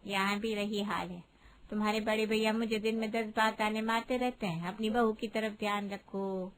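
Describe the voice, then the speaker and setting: A woman's voice: medium pitch, steady tone, normal speed, slight background noise, natural voice, rough recording. A woman voicing a judgmental, middle-aged gossiping aunt in a Hindi moral-story cartoon, complaining and scandalized. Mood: aggrieved complaining.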